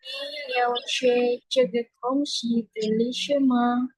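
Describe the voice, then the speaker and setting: A woman student in a language class, repeating the teacher's sentences slowly and carefully. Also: high-pitched, flat delivery, darkish tone, dry recording, rough recording. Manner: very slow, careful repetition.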